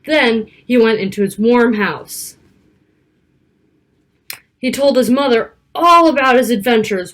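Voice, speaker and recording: Woman, slightly high pitch, children's storybook reading, expressive, stretched key words, chatty asides. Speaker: storyteller reading a picture book aloud to children. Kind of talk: read-aloud storytelling with casual asides.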